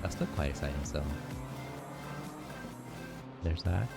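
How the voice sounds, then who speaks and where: Low-voiced man hosting a casual racing stream. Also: lively intonation, slow, vocal fry, loud music behind the voice. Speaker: race broadcast host interviewing drivers. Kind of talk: casual livestream post-race interview and sign-off.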